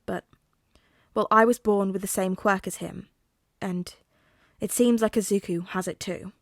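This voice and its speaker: Lady reading as a careful formal dad guy explaining stuff, measured, dry audiobook sound. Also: medium pitch, steady tone, dry recording, natural voice. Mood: grave, confiding. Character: guarded, formal father with villain lineage.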